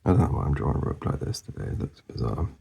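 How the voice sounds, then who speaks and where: A man talking casually as he works through a drawing, mumbling and rambling in a soft voice that often drops to a whisper, and he is losing his voice. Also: rumbling low, animated, quick pace, vocal fry, slightly rough. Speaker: artist demonstrating a drawing.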